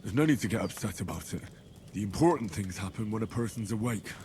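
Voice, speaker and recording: A man's voice: on the low side, expressive, fast talker, darkish tone, slight background noise, close to an everyday voice. An actor playing an earnest young man bent on avenging his parents in a video game, plain-spoken and serious. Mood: grim. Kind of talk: video game cutscene dialogue.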